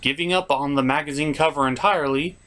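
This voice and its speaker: A man's voice: fairly high pitch, fast talker, a bit bright. A man hosting a cartoon review, delivering scripted comedic narration in a wry, self-deprecating way.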